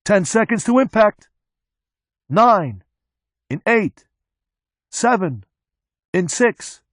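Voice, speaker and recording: Fanatical mechanic, screamed high and slow; male narrator doing the voice. Dry booth sound. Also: big pitch swings, no room sound, full character voice. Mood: screaming. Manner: shouting.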